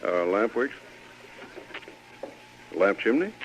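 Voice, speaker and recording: An actor playing a patient, obliging American general store keeper with a folksy, coaxing manner in a radio western. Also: male voice, on the low side, very animated, takes it slowly, heavily acted. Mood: patient, helpful. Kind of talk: old-time radio western acting. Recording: dull, faintly noisy vintage radio.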